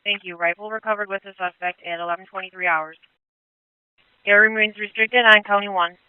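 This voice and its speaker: A male police dispatcher speaking over radio traffic in a calm, clipped way, repeating back information. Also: sits high, a little fast, smooth, dry recording, phone-quality sound. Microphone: dispatch console radio.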